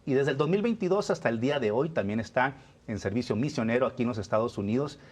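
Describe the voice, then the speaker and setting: A man's voice: mid-range voice, expressive, quick pace. Man hosting a religious talk show. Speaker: host of a religious TV program. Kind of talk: conversational presenting and interviewing.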